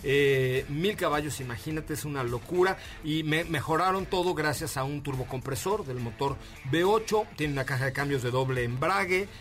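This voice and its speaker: Excitable Mexican radio car host. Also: male voice, fairly high pitch, normal speed, hardly any pauses, faint music behind. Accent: Mexican Spanish. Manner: enthusiastic, jokey, slang-heavy, many fillers. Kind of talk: casual radio chat.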